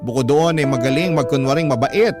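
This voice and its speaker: A man narrating a letter as an emotive, measured dramatic radio story. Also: mid-range voice. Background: loud music.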